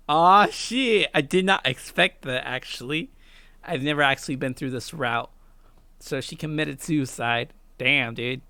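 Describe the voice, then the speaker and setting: A man's voice: a little high, pushed and bright, dry recording. A man hosting a let's play, reading a visual novel aloud in a dramatic way and swearing casually.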